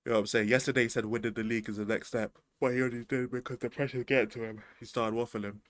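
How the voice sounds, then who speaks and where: A man's voice: mid-range voice, little pitch movement, quick pace, dry recording. A man, chatting casually about football as a podcast host, slangy and rambling. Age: 20s.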